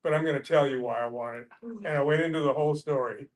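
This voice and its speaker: A man telling a casual personal anecdote in a halting, rambling, folksy way during a group discussion. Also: fairly high pitch. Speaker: discussion participant.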